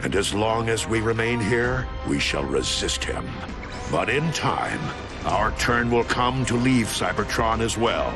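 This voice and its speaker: Casting: noble giant robot leader for a game, deep and measured, swinging dynamically in pitch, over loud music. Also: male voice, big pitch swings. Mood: solemn, resolute. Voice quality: deep, weighty. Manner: solemn, measured, commanding. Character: noble, resolute giant robot commander, mature male. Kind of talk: video game cutscene voice acting.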